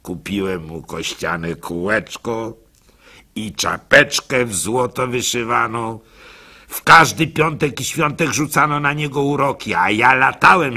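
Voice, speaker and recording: A meek, gullible man, pours out his troubles in a radio monologue. His voice is raspy and sharply forceful, swinging widely in pitch. He stumbles and hesitates like someone confessing, a lovestruck simple baker who puts up with everything. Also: heavily acted. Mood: tender.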